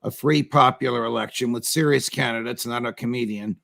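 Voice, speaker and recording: A male American interviewer with a heavily gravelled, fry-laden voice at a medium pitch. He lays out short statements deliberately and emphatically, then turns them into pointed, incredulous rhetorical questions. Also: fairly bright tone. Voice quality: gravelly, creaky voice. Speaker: talk show host interviewing a guest.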